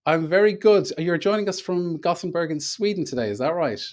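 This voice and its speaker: A man hosting a podcast interview, speaking in a halting way with many filler sounds. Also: fairly high pitch, animated. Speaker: podcast host.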